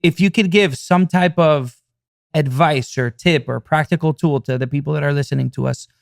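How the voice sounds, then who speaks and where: A man's voice: fairly high pitch, normal speed, creaky, no room sound, clean studio sound. A man hosting a podcast interview, affirming his guest with frequent short agreements. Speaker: podcast host. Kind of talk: casual interview.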